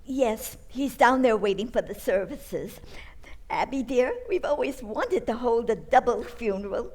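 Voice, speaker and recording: Lady doing a mild old auntie in a radio play, fluttery and unhurried. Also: on the high side, expressive, a little mellow, big-room sound. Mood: pleasant. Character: mild, sweet, fluttery elderly aunt.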